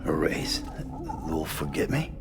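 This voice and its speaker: Gruff male game protagonist, extremely low gravelly voice, laconic and guarded, loud score and rain behind. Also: sing-song, slow pace, in a whisper, music in the background, heavily acted. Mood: wary, concerned. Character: terse, guarded gruff male courier hero. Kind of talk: cinematic video game acting.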